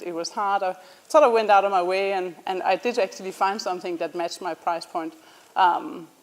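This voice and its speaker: A young woman with a Danish accent giving a casual startup pitch to a class, with frequent ums and restarts. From Denmark. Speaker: startup founder presenting. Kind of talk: student pitch presentation.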